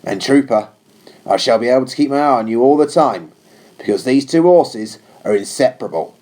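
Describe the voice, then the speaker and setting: A man's voice: mid-range voice, expressive, fairly quick, a little mellow, lightly acted. A man voicing a firm, unsympathetic male cavalry captain in a storybook reading, with a commanding manner. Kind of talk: audiobook character voice.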